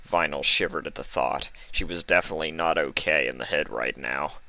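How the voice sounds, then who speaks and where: A man's voice: very deep voice, a little fast, vocal fry, sharp tone, no echo. A man narrating a written fan story as its reader.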